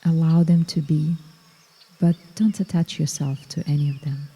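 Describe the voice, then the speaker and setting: A woman guiding a meditation in a slow, soft, soothing way, with long pauses between phrases. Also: low-pitched, steady tone, breathy edge, long reverb tail. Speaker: meditation guide. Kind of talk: guided meditation.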